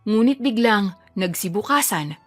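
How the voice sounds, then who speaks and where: A woman's voice: mid-range voice, expressive, normal speed, a bit bright, dry recording. Woman narrating romance, music behind. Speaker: story narrator. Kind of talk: scripted dramatic romance narration.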